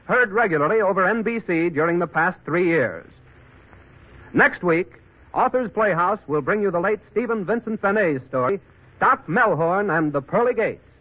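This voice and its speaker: A man's voice: high-pitched, lo-fi sound. A man announcing formally from a script in the style of 1940s radio. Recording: band-limited 1940s radio broadcast.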